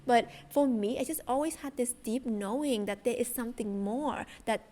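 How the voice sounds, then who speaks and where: A woman's voice: a little high, moderate pace, dark tone. A young woman from Vietnam, chatting enthusiastically to her viewers about spirituality, with plenty of fillers. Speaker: spiritual content creator. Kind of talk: casual chat to viewers.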